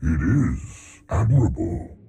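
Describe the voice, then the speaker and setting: A man voicing a fussy, curious scientist in a game, husky and gravelly, with formal, erudite wording. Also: deep voice, very animated, takes it slowly, warm tone, full character voice. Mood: admiring. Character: scholarly male scientist, curious, fussy, admiring of knowledge. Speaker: doctor and researcher. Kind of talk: video game cutscene acting.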